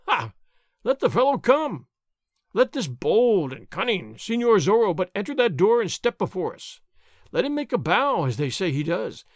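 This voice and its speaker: A man voicing a loud, boastful, burly soldier sergeant who bellows and swaggers. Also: high voice, no room sound, clean studio sound, heavily acted.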